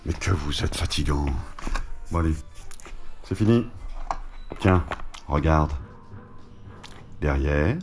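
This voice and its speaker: A French actor playing a grumbling, dry-witted barber in a naturalistic audio drama. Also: male voice, rumbling low, takes it slowly, long pauses, faint music behind, heavily acted. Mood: dry, annoyed. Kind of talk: naturalistic audio fiction dialogue.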